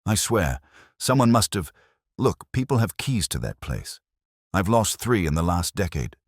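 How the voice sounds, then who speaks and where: A man's voice: deep voice, sing-song, dry recording, studio quality, full character voice. A man doing a flustered, defensive publican. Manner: hurried, pleading, defensive.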